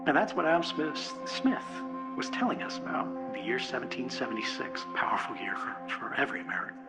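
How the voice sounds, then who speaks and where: Gravelly man giving a speech over music. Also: mid-range voice, very animated, low-quality recording. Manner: earnest, halting delivery with rhetorical questions. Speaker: public speaker. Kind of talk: political conference speech. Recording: loud music under a speech. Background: loud background music.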